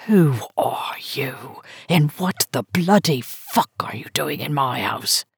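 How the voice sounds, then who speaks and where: A woman doing a drunk, gravelly old British woman. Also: low-pitched, animated, no room sound, studio quality, full character voice. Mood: menacing. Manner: slow, contemptuous drawl.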